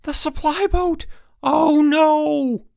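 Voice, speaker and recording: A hot-tempered young camp counselor, voiced by a man reading a storybook, who bellows orders with his voice jumping high. The recording is dry and close, with a gravelly edge. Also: very animated, moderate pace, dry recording. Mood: shouting. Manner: shouting, bellowing.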